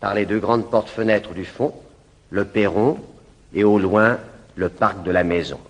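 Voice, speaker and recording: A man's voice: fairly low pitch, husky, in a large hall. A man formally announcing the cast and the stage setting of a play. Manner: slow, measured announcing.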